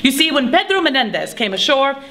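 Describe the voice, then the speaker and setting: A woman's voice: high-pitched, sing-song, fairly quick, a bit bright, reverberant, full character voice. A woman playing a proud Spanish colonial teacher who lectures a crowd in a live history reenactment. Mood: defensive. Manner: theatrical, bossy, addressing a crowd.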